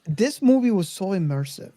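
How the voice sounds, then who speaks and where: Casual film-podcast co-host, mid-pitched and hesitant, feeling for the right word, recorded dry. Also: very animated, normal speed, warm tone, dry recording. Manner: hesitant, searching for words.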